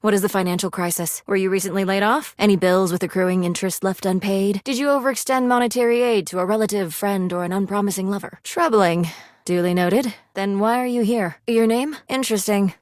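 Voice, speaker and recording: A woman's voice: medium pitch, steady tone, fairly quick, darkish tone, no room sound, studio quality, lightly acted. A woman playing a video game character, prim and aloof money personified as a stern financial advisor, speaking in a formal, clipped, precise way. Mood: stern, curt.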